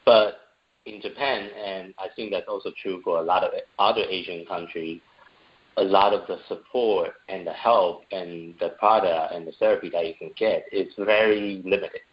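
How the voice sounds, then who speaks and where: A man from Taiwan answers interview questions in an earnest way and often pauses on fillers. His voice is very low. The audio sounds dull and a bit lo-fi. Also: steady tone. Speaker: guest, entrepreneur and parent.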